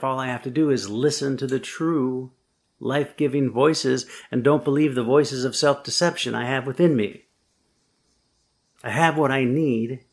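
A man preaching a reflective sermon, slow and thoughtful, building to emphatic points and then telling a first-person story. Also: medium pitch.

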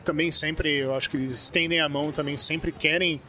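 A man with a Brazilian Portuguese accent speaking as an interview guest, relaxed and halting as he thinks aloud. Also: a little high. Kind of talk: casual conversation. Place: conference event venue.

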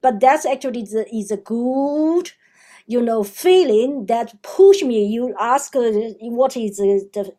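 A woman with a Chinese accent, a non-native English speaker, answering interview questions haltingly with many fillers and restarts. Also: fairly high pitch, dark tone. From China. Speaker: guest.

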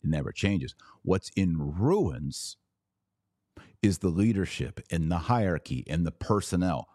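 A man's voice: low-pitched, very animated, measured pace, a little mellow, no room sound, studio quality. A man hosting a live podcast, reading viewer comments and giving emphatic, opinionated commentary with rhetorical questions. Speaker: podcast host.

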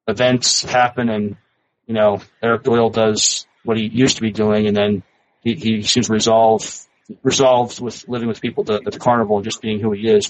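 A man with a somewhat low voice speaks as a podcast co-host. His delivery is casual and thoughtful, with halting phrasing as he works through a point. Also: slight background noise, low-quality recording. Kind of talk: casual interview chat.

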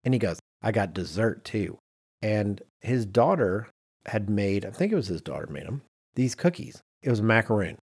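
Male podcast co-host, lower-pitched and a little rough, lively casual storyteller who acts out what people said. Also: moderate pace. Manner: animated anecdote telling with mimicked quotes. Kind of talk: casual banter.

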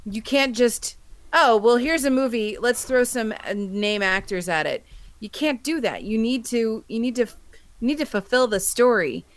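A woman's voice: fairly high pitch, lively intonation, moderate pace, no room sound. A woman on a podcast panel talking casually and bluntly, with an emphatic, exasperated delivery. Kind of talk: casual opinionated panel discussion.

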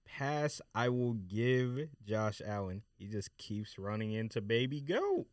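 Lively American man bantering about sports. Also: lowish voice, slow pace, no echo, clean studio sound. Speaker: sports podcast panelist.

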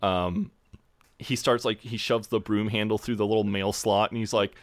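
A man with a fairly low voice recaps an episode for his co-host. He tells it with lively, animated storytelling. The recording sounds dry and close, with no room around it. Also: moderate pace, no echo. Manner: animated storytelling, acts out quoted lines in funny voices. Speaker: podcast co-host. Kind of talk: casual chat recapping a TV episode.

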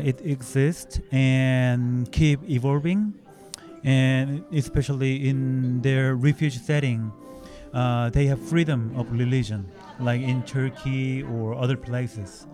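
A man from South Korea, describing his ministry work in slow, careful speech. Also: medium pitch, music in the background. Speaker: ministry worker.

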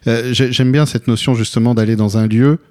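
Male interview host, soft mellow tone, conversational, searching for his phrasing. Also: mid-range voice, fairly even intonation, a little fast, clean tone.

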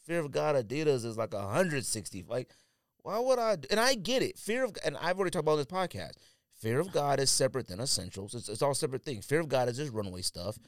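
A man's voice: mid-range voice, sing-song, fast talker, no echo, studio quality. A man co-hosting a casual podcast, talking in a blunt, slangy, incredulous way and giving opinionated commentary. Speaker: sneaker podcast co-host.

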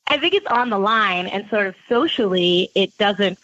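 A woman's voice: medium pitch, lively intonation, normal speed, fairly bright tone, highs cut off. A woman pastry chef in casual podcast chat, talking freely and laughing often. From Ecuador and Virginia, US. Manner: chatty, laughs often, fillers like "like".

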